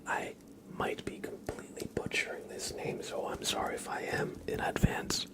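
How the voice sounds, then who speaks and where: A man hosting an ASMR livestream, speaking in a gentle, mostly unvoiced whisper and repeating phrases unhurriedly. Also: normal speed. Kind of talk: ASMR, greeting viewers and tracing their names.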